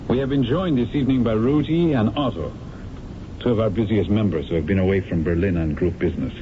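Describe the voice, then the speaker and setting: An actor playing a charismatic male leader of a secret society, formal and commanding, in a 1970s radio drama. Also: fairly low pitch. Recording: muffled old radio broadcast with hiss.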